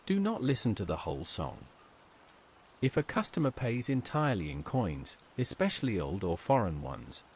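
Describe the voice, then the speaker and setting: A man narrates a scripted horror tale in the first person. His mid-pitched voice rises and falls with lively variation, over faint rain. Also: slight background noise.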